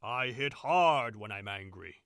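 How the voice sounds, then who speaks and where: A man's voice: fairly low pitch, animated, measured pace, a bit bright, no echo, studio quality. An actor playing a brash, boastful young male servant with a crude swagger in an audio drama. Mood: cocky.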